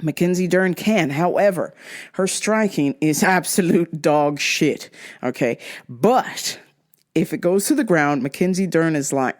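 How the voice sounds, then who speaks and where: A woman hosting a casual podcast, giving fight picks in a crude, joking, animated way. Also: deep voice. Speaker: podcast host.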